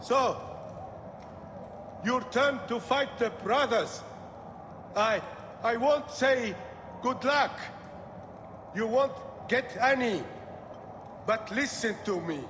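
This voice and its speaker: Game gladiator, male: beaten and grave, high voice, extremely slow halting delivery, echoing hall with noise. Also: expressive. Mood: grave warning. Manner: halting, solemn, cautioning. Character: defeated male arena fighter, grave and halting. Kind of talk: video game cutscene acting.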